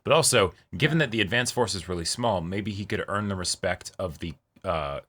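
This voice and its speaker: A man's voice: low voice, expressive, a little fast, a bit bright. A man co-hosting a casual fan discussion, agreeable and often chiming in to affirm what is said. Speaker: co-host of a TV show reaction channel.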